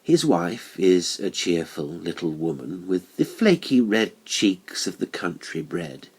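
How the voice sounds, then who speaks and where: A man narrating a horror story for an audiobook in a measured, deliberate, dramatic way. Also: deep voice, big pitch swings, breathy edge.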